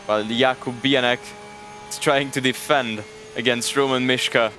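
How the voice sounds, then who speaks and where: A man's voice: mid-range voice, fairly even intonation, moderate pace, pushed and bright, faint music behind. Hungarian-accented man commentating a sim race. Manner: chatty, jokes and thanks chat viewers. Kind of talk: sim racing stream commentary.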